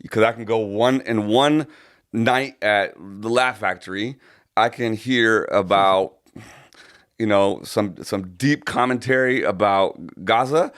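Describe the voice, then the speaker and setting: A man's voice: on the low side, takes it slowly, a bit bright, clear, resonant tone. A man co-hosting a casual podcast, talking thoughtfully and rambling with many restarts. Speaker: podcast co-host.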